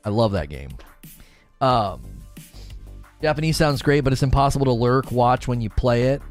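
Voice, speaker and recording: A man's voice: mid-range voice, animated, moderate pace. A man talking casually as the host of a daily livestream gaming talk show. He rambles eagerly, repeats phrases and answers viewer chat, with a mid-range voice over faint background music. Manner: enthusiastic, rambling, repeats himself.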